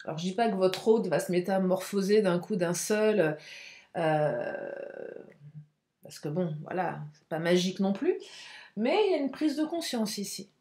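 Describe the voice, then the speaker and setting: Stuffy-nosed, hoarse woman reading tarot cards. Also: on the low side, lively intonation, warm tone, echoey room. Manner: speaks through the nose, halting with many fillers. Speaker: tarot card reader.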